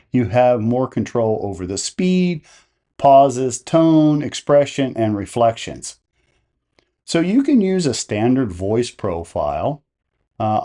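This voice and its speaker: A man presenting a step-by-step tutorial in a measured, explanatory way. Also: medium pitch, dark tone. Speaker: tutorial host. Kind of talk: step-by-step instructional narration.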